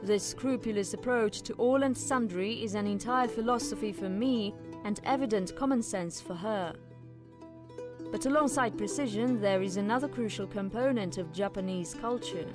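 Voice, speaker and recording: A woman's voice: mid-range voice, normal speed. A woman reading scripted documentary narration as the narrator, with instrumental music behind her.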